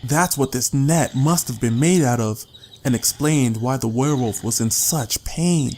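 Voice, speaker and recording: A man's voice: medium pitch, a little slow, darkish tone, a little hiss or hum. A man narrating a story as a scripted, dramatic audiobook reading.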